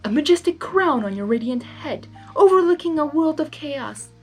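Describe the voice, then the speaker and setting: A woman's voice: sits high, expressive, moderate pace, a little mellow, faint music behind, pitched above the performer's own voice. A woman voicing a seductive, manipulative male chaos creature, coaxing and hypnotic, in a story reading. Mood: grand tempting.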